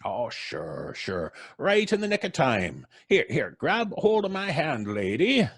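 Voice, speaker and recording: Gloating old hick in a radio drama, raspy, slow drawl, swoops all over. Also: male voice, medium pitch, huge pitch swings, fairly bright tone, exaggerated voice. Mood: smug, folksy. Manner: drawling, chuckling rural dialect. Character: folksy, gloating old country man, coolly merciless.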